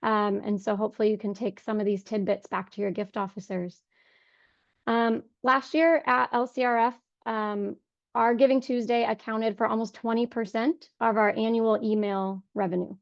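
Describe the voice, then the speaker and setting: A young woman with a voice hoarse from a cold, giving an earnest webinar talk on fundraising with many ums. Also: on the high side, level tone, normal speed, no echo. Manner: frequent ums, earnest and conversational. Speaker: fundraising director. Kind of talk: webinar presentation. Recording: dull lo-fi dry sound.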